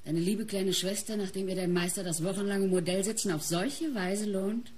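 Husky, bitter wife played by an actress. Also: female voice, mid-range voice, darkish tone, natural voice. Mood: mocking, bitter. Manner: theatrical, cutting, measured. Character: bitter, mocking wife of a painter. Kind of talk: German radio crime drama acting.

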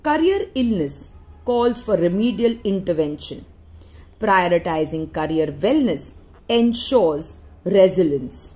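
A woman reading a newspaper article aloud in deliberate phrases with frequent pauses. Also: on the low side, animated, mellow. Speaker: audiobook reader.

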